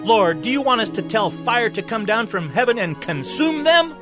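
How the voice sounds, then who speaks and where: A man's voice: sits high, very animated, normal speed. A man playing an eager, zealous disciple addressing his master urgently in a dramatized audio Bible. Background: faint music.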